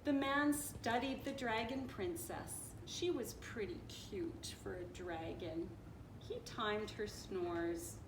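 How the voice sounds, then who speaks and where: A woman reading a children's picture book aloud in an expressive, playful, dramatic way, with little asides. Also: mid-range voice, slow. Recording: roomy echo with clear background noise.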